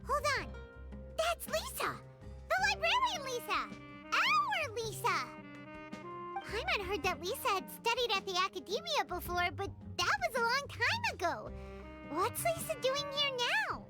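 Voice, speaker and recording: Super high squeaky little fairy sidekick, cheeky n excitable, game music behind. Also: female voice, unhurried, heavily acted. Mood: excited, surprised. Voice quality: squeaky, childlike. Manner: refers to self in third person, exclamatory. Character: small cheeky excitable floating fairy companion, childlike.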